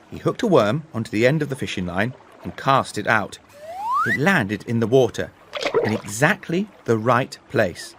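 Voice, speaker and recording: A man reading a children's story in a measured, deliberate, dramatic way. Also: lowish voice, a bit bright, a little hiss or hum. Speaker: story narrator. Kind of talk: expressive scripted storybook narration for learners.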